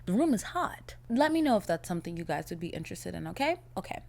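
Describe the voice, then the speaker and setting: A young woman hosting her own podcast, chatting casually in a blunt, profane, animated way, her voice a little raspy from a cold. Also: fairly low pitch, fast. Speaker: podcast host. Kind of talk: casual solo podcast chat.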